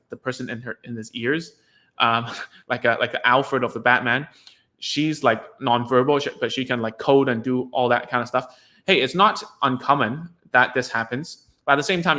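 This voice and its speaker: A man's voice: medium pitch, sharp tone. A man whose first language is Cantonese, a speech therapist giving frank, rambling casual advice to parents, full of "like" fillers.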